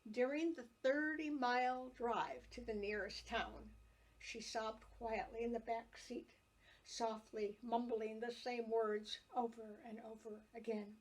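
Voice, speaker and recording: A woman reads her own childhood memoir aloud at a slow, careful pace. Her voice sits a little high, and she lets each scene unfold with expressive storytelling. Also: rough recording. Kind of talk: reading a family memoir aloud.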